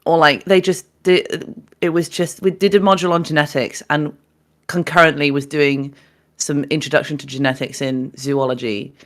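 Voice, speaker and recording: A young woman from England co-hosting a casual podcast, talking loudly and excitably, set farther from the mic than her co-host. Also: sits low, normal speed. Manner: loud, excitable, with frequent laughs.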